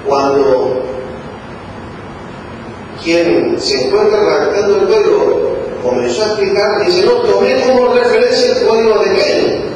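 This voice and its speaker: A man's voice: sits high, measured pace, pauses often. A man with an Argentine accent gives a public conference lecture on law, speaking rhetorically and voicing imagined exchanges. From Buenos Aires, Argentina. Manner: rhetorical questions and acted-out quoted exchanges. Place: conference hall. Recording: echoey hall from far off, noisy.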